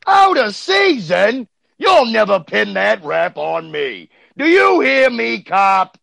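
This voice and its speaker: A man voicing a brash, blustering dragon with a gravelly voice and hammy delivery in a comic radio drama. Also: sits high, very animated, slow, bright, forceful tone, no room sound, clean studio sound, heavily acted. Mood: indignant. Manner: hammy, overacted. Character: brash, blustering fire-breathing dragon, wisecracking crook.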